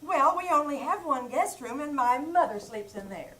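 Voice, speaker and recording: A woman's voice: high-pitched, animated, normal speed, a bit bright. A woman playing a scatterbrained, chatty young wife in a 1940s radio comedy, flustered and literal-minded, performed live on stage. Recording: live theater recording with background noise.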